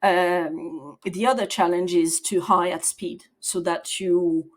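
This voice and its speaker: A woman in her forties from the south of France, speaking as a podcast guest, thoughtful and quick to laugh. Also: on the low side, steady tone. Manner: thoughtful, laughs easily, restarts sentences. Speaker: guest, people and operations leader. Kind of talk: podcast interview.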